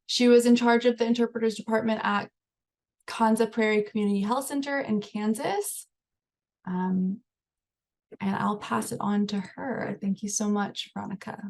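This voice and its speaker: A woman's voice: mid-range voice, steady tone, moderate pace, creaky, a little mellow. A woman research coordinator opening a webinar, reading an introduction from notes with "um" fillers, on a computer mic. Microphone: computer mic on a video call.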